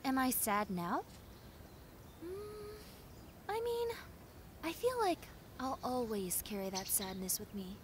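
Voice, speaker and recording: A woman playing a friendly, clumsy young female zombie in a roleplay audio drama, talking in a chatty, expressive, rambling way. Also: high-pitched, slow, pauses often, slight background noise, full character voice. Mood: wistful, grateful. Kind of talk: roleplay audio drama, conversational monologue addressed to listener.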